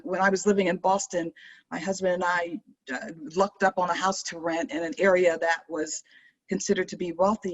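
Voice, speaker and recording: A woman's voice: mid-range voice, highs cut off. A woman speaking earnestly in a panel discussion, reflective, often restarting her sentences. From Maryland. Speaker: panel guest.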